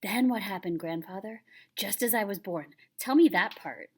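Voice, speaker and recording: A woman's voice: medium pitch, moderate pace, slightly rough, lightly acted. A woman voicing an eager, insistent young boy who pleads for stories in a children's picture book. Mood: eager, curious. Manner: pleading, excited questioning.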